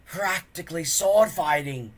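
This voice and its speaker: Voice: medium pitch, lively intonation, measured pace, a little hiss or hum, voice pushed up, close to an everyday voice. Someone reading a storybook aloud, voicing a smug Viking boy bully who sneers and boasts. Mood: smug, boastful.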